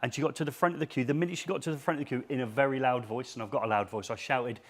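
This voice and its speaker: A man's voice: mid-range voice, rapid-fire. A man hosting a live show, chatty and joking, telling stories. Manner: chatty, jokey storyteller. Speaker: host.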